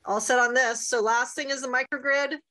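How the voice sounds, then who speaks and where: A woman presenting a committee update to a town board over a video call, speaking in a clear, explanatory and upbeat way. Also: high voice, fairly even intonation, brisk, pushed and bright, a little hiss or hum.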